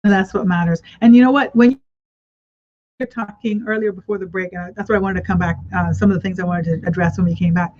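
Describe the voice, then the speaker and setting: A woman's voice: mid-range voice, steady tone, quick pace. A woman hosting a radio talk show, telling stories in a casual chat and encouraging her guest. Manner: warm encouraging affirmations, storytelling. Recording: dull lo-fi radio audio.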